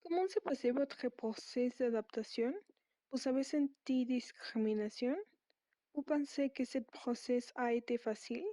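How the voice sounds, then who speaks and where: A woman interviewer with a high, mellow voice asks direct, formal questions. The recording is dry and close, with no room sound. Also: normal speed, dry recording.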